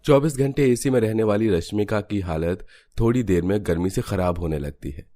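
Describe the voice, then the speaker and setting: Guy narrating a hindi cartoon story, really deep voice, scripted storyteller read. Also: normal speed, warm tone.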